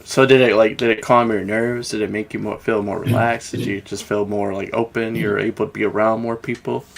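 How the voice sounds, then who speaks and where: A man's voice: lowish voice, little pitch movement, fairly quick, vocal fry. A young adult man hosting a podcast, asking laid-back questions filled with fillers like "like.". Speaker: podcast host. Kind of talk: casual interview. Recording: faint background noise.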